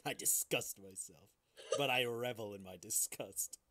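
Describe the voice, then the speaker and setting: A man's voice: on the low side, unhurried, pushed and bright. A man co-hosting a podcast with casual comic commentary, crude and mock-dramatic in his joking. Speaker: podcast co-host.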